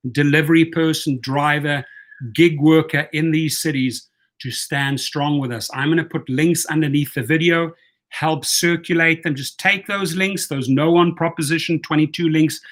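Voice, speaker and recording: A man's voice: on the high side, fairly bright tone. A man with a South African accent hosting a livestream, rallying viewers and calling out long lists of cities and viewer comments. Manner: reads viewer comments aloud. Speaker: channel host. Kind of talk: livestream call to action.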